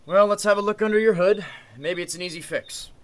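A man playing a calm, commanding lawyer in an old-time radio mystery drama, speaking in a measured way. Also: high-pitched, noisy background, close to an everyday voice. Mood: concerned. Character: calm, take-charge male lawyer carrying a gun.

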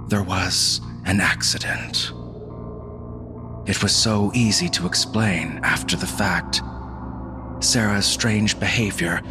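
A man playing a haunted husband who narrates a supernatural horror story in a grave, suspenseful, deliberate way. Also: sits low. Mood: somber, bitter. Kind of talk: dramatic scripted narration. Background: music.

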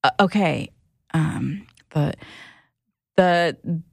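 An actress playing a hesitant, deferential researcher who explains findings in a halting way in an audio drama. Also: female voice, low-pitched, gravelly, dry recording, full character voice. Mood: flustered, deferential.

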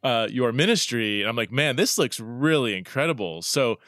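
A man's voice: medium pitch, animated, fairly bright tone, dry recording, studio quality. A man hosting a podcast, chatting casually in an upbeat, loose way. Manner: quick, upbeat, loose phrasing. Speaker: podcast host.

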